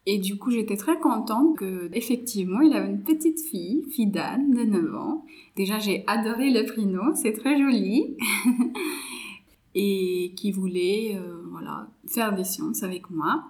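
A woman in her twenties from India, a native Marathi speaker, talking in a relaxed, hesitant way with many pauses as she thinks aloud about her studies and her mentoring. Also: medium pitch. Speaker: psychology master's student and volunteer mentor. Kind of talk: casual interview chat.

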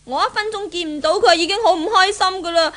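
An actress playing a spoiled, impatient, lovesick young daughter in a 1970s radio drama, whining coquettishly in Hong Kong Cantonese. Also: female voice, very high voice, fast talker, a bit bright. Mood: petulant, impatient.

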